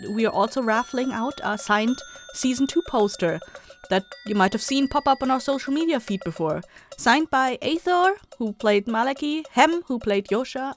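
A woman with a fairly high voice speaks as a podcast host. She delivers a scripted announcement directly to listeners, with music playing faintly behind her. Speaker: show host and voice actor.

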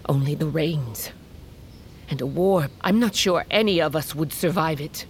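An actress playing a stern, burdened queen of a wolf tribe in an audio drama, speaking in a grave, measured, formal way. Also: low voice, slight background noise. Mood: grave, fearful. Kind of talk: audio fiction drama acting.

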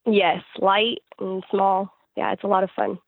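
A woman's voice: medium pitch, flat delivery, a little slow, gravelly, dry recording, narrow, tinny audio. A woman who sings and writes gospel music, answering interview questions in a conversational way. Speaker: interview guest, gospel singer-songwriter.

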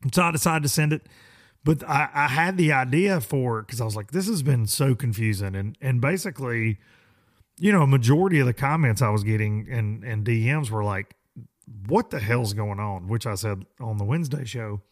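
Hoarse man hosting a podcast. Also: mid-range voice, lively intonation, moderate pace. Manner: folksy, self-deprecating, rambling with restarts. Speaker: podcast host. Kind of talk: casual rambling monologue.

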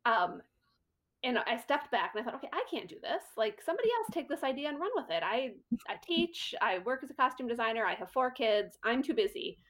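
A woman's voice: on the high side, sing-song, brisk, fairly bright tone. A middle-aged woman, a costume designer, telling a story in casual interview talk and acting out her own quoted thoughts.

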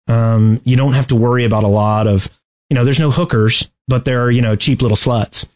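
A man's voice: mid-range voice, fairly even intonation, fairly quick, a little mellow. A man in his forties hosting a podcast in casual chat, joking and rambling through his stories. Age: 40s. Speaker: podcast host.

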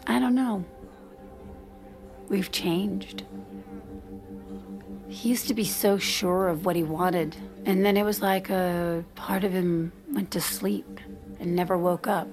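A woman's voice: on the low side, slow, pauses often. A woman acting in an audio drama as a reserved married mother in her thirties, confiding in a hesitant, self-deprecating way. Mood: wistful. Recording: music playing behind the voice.

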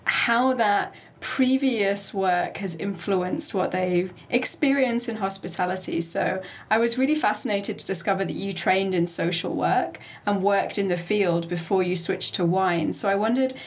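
A woman hosting an interview podcast, asking long, thoughtful questions at a measured pace. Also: medium pitch. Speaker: podcast host.

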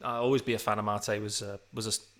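A man's voice: lowish voice, flat delivery, fast, fairly bright tone. A man co-hosting a casual sports podcast, speaking in an easygoing, agreeable way. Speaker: podcast co-host.

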